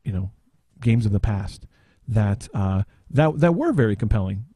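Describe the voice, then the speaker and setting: A man's voice: fairly low pitch, animated, moderate pace, mellow, breathy edge, dry recording, studio quality. A man chatting casually on a podcast, hesitant and searching for his words. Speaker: podcast panelist. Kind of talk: casual chat.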